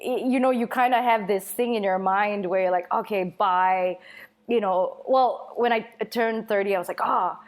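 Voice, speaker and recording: A woman in her thirties from Singapore, a podcast guest chatting in a rambling, halting way and laughing often. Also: mid-range voice. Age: 30s. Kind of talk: casual chat.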